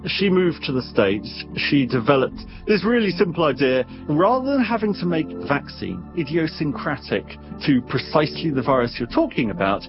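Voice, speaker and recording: A man speaking as an expert guest, explaining things conversationally in an animated, wry way, sometimes doubling back on his words. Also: on the high side, moderate pace, few pauses, dull audio, music in the background.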